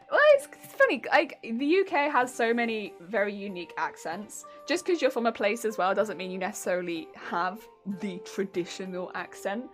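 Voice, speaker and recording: A young woman from Hampshire with a southern English accent, chatting casually and giggling while she sews on a craft stream. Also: on the high side. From Hampshire, England. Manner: giggly, rambling, chatty with frequent laughs. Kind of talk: casual live-stream chat while sewing.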